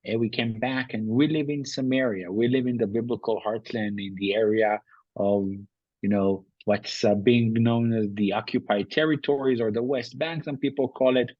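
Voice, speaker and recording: Israeli man, husky voice, dry sound. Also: mid-range voice, steady tone, normal speed, no room sound, highs cut off. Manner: thoughtful, with frequent restarts and uh fillers. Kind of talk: interview answers.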